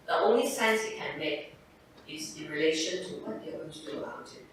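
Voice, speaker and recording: A woman's voice: on the low side, fairly bright tone. An older woman from Singapore, a surgeon giving an informal lecture to medical students and checking in with "right?" and "okay?". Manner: conversational, tags points with "right?" and "okay?". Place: large echoey lecture hall. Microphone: distant room mic. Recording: echoey hall, distant mic, noisy.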